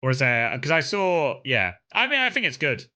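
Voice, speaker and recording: A man's voice: medium pitch, huge pitch swings, normal speed, bright, forceful tone. A young man from Kent with a southern English accent, chatting casually and rambling to his stream viewers, full of fillers and restarts. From England (Kent).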